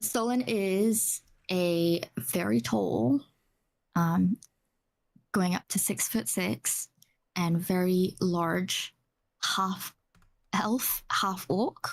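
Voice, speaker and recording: A woman playing a tabletop game, casually describing her character in a halting, thinking-aloud way. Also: medium pitch, creaky, low-quality recording.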